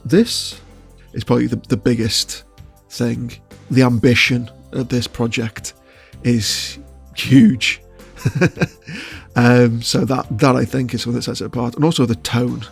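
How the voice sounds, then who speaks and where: A man's voice: mid-range voice, fairly even intonation, unhurried, creaky, slightly rough, soft background music. A man giving casual interview answers as a voice actor, choosing his words with hesitation and often chuckling.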